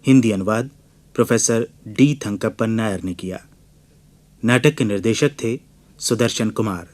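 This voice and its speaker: Slow, low-voiced male radio announcer. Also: a little mellow. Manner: measured, formal. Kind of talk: formal scripted credit reading.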